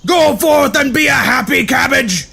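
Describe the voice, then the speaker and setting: Shrill gravelly cartoon maniac, slow-drawled screaming rants. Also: male voice, expressive, shouting, over music, heavily acted. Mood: raving. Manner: ranting nonsense threats at a scream. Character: manic shrieking cartoon noodle boy, deranged. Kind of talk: animated comic dub.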